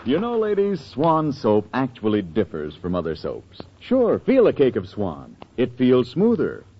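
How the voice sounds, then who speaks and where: A man's voice: medium pitch, wildly swooping pitch, a little slow, breathy edge. A man announcing a scripted 1940s radio advertisement on a muffled old broadcast.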